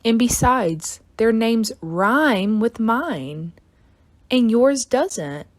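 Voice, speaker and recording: Vain, bossy first-grade girl voiced by a woman reader, prim and affected, unhurried, lively intonation, storybook. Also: fairly high pitch, dry recording. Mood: smug, dismissive. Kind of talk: children's storybook read-aloud.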